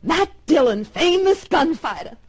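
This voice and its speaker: An American actress playing a vengeful, bitter widow in an old-time radio western, cold and accusing. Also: female voice, high voice, sing-song, measured pace, exaggerated voice. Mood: accusing, rising anger.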